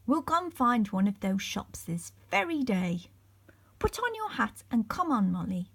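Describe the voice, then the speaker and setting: Woman reading as Peter, an eager, breathy young boy. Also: on the high side, big pitch swings, a little slow, full character voice. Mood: decisive.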